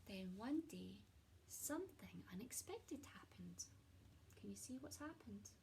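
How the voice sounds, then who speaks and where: A woman reading a children's picture book aloud in an expressive storytelling way, as a teacher speaking to young listeners. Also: mid-range voice, measured pace, creaky, slight background noise, rough recording.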